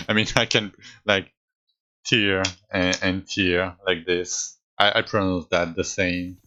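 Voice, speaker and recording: French male streamer, low bright voice, unhurried and halting, casual chat. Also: fairly even intonation, highs cut off. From France. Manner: halting, with frequent restarts.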